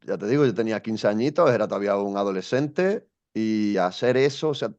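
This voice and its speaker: A man's voice: on the low side, lively intonation, brisk, a little mellow, dull audio. A young man from Spain, a guest telling his story in an interview, thoughtful and hesitant with many restarts. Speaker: guest, education coach.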